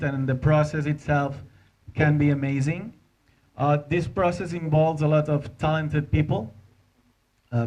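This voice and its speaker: A man's voice: medium pitch, a little mellow. Dull-sounding, hesitant Spanish-accented man presenting. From Spain. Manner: hesitant, halting non-native English delivery. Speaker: animator giving a conference talk. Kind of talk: informal presentation to an audience. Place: indoor hotel conference room. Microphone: handheld microphone.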